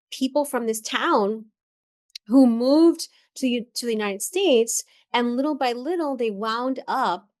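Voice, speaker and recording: A middle-aged woman from the Dominican Republic, a therapist guest telling her career story in a reflective way with many ums and restarts. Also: on the high side. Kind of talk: conversational interview.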